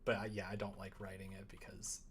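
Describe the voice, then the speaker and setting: A man chatting casually on a livestream as he codes, rambling in an animated, opinionated way. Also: deep voice, fairly quick, husky. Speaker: live-streaming programmer. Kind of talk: casual livestream chat while coding.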